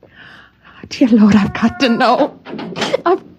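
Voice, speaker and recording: An actress playing a lonely, yearning wife in a 1950s radio drama, speaking in hushed tones. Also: female voice, fairly high pitch, slow pace, background noise. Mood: breathless. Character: lonely wife in a fallout shelter. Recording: dull old radio broadcast with music.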